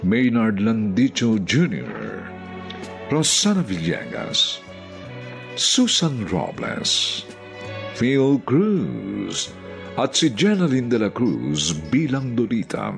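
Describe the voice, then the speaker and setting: Deep, slow Filipino man narrating drama. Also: big pitch swings, gravelly, faint music behind. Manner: formal, deliberate. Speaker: radio drama narrator and announcer. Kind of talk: dramatic scripted radio narration.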